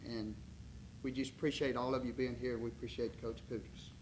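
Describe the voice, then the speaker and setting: A man speaking as club president, giving a halting, formal speech of appreciation with many pauses. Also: medium pitch, little pitch movement, heavy background noise.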